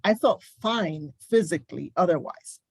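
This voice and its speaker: A woman's voice: on the low side, lively intonation, moderate pace, vocal fry, husky, no room sound. A woman nurse, a guest on a podcast, telling her story in emphatic, detailed, casual talk.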